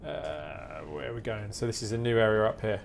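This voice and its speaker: A man's voice: lowish voice, sing-song, fairly quick, creaky, background noise. A man with a British accent streaming a game, giving casual, chatty commentary and reacting aloud as he goes. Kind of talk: casual live gameplay commentary.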